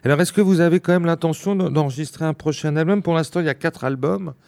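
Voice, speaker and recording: A male interviewer who asks questions in a friendly way and keeps agreeing with quick interjections. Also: fairly high pitch, creaky, darkish tone. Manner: eager, frequent agreeing interjections.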